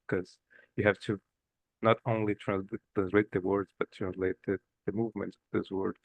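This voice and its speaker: Gravelly low Brazilian man, halting. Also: a little hiss or hum, poor audio. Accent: Brazilian Portuguese. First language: Portuguese. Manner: halting, searching for English words. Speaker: seminar participant.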